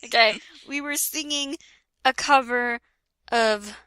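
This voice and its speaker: High, bright-voiced woman reading aloud, giggly. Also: slow pace, clear, resonant tone, dry recording. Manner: giggly, often breaking off from the reading to comment. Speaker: reader hosting a comedic fan fiction reading. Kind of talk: casual, jokey read-aloud with ad-libbed asides.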